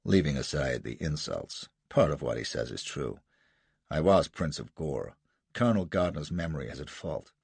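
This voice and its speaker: A man's voice: a bass voice, lively intonation, moderate pace, creaky, a little mellow, slightly rough, no echo, pitched below the performer's own voice, heavily acted. Man doing a shifty, curt American rogue. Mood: defiant.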